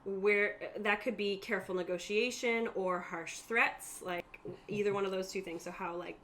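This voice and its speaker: A woman runs a tabletop game on a stream, walking players through the rules in a casual, chatty manner. Her voice sits at an ordinary pitch. There is some noise in the room around her. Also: fairly even intonation, normal speed, fairly bright tone. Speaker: game master. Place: room at a group gaming table. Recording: noticeable room noise behind the voice.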